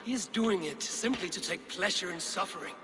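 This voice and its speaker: An actor playing a stern, disgusted Viking warrior with a fierce temper in a video game, grim and blunt. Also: male voice, high-pitched, brisk, natural voice. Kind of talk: video game cutscene acting.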